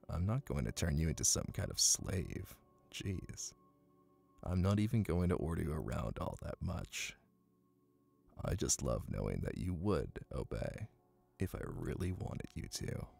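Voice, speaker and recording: A man playing a smug, soothing, dominant hypnotist boyfriend in an intimate ASMR roleplay, coaxing and teasing slowly in a gravelly, breathy voice. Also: a bass voice, darkish tone, heavily acted. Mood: teasing, amused.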